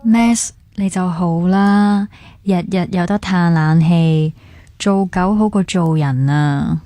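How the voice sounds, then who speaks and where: Chatty carefree young hk cantonese girlfriend type, radio drama, mellow low voice, close dry sound. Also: slow pace, no room sound. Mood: teasing, concerned. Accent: Hong Kong Cantonese. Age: young adult. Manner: casual, familiar. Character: chatty, carefree young woman, a concerned friend.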